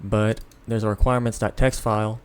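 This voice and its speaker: A tired man hosts an off-the-cuff software tutorial. His voice sits fairly low and sounds clear and smooth. He rambles in a casual, self-deprecating way, sounding sleepy as he explains. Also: little pitch movement, brisk. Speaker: tutorial host.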